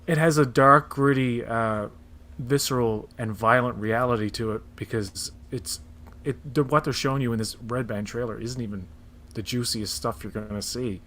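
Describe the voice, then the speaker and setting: A man's voice: medium pitch, steady tone, moderate pace, a bit bright, a little hiss or hum. A man chatting casually and enthusiastically, with strong opinions, as a panelist on a pop culture show. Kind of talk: casual chat about movie trailers.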